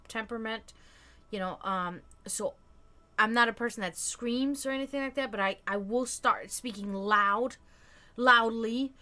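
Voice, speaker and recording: Frank, musing woman in her thirties. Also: mid-range voice. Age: 30s. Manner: frank and reflective, often trailing off quietly. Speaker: stream host. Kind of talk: casual chat on a live stream.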